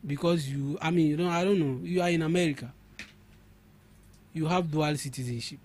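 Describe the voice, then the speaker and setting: A man's voice: a little high, brisk, a little mellow. A young man with a Ghanaian English accent, a guest on a radio talk show, arguing his points emphatically and often repeating words as he builds them. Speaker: studio guest.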